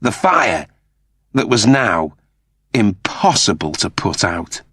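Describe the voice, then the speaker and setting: A man's voice: lowish voice, sing-song, takes it slowly, fairly bright tone, husky, no room sound, clean studio sound. A man reading a story as a narrator, in a dramatic scripted style. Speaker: audiobook narrator.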